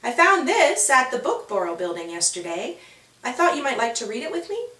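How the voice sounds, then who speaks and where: A woman voicing a kind, caring female friend in a children's story, gentle and expressive. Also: fairly high pitch, brisk, roomy sound, far from the mic.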